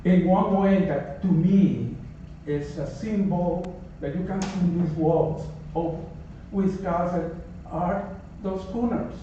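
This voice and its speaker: A man's voice: high-pitched, takes it slowly, warm tone, a little hiss or hum. Man with non-native accent, echoey hall. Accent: non-native English. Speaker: resident speaking at public comment. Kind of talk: public comment at town meeting. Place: town office meeting room. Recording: echoey hall, distant mic, dull.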